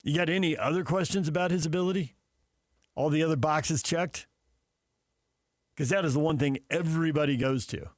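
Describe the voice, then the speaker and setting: A man's voice: on the high side, brisk, long pauses, dry recording, studio quality. A man hosting sports talk radio, discussing in a casual, opinionated way.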